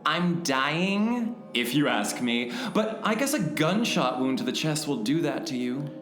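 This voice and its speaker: A man's voice: on the high side, very animated, unhurried, a bit bright. An actor playing a gloating, smug villain mastermind in a parody radio drama, sardonic and taunting as he draws out his words. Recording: roomy echo, faint music underneath.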